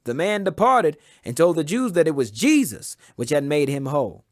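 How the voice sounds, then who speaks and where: A man giving a solemn, emphatic and measured dramatic reading of scripture as an audiobook. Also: a little high, very animated, dry recording, clean studio sound. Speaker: Bible reader.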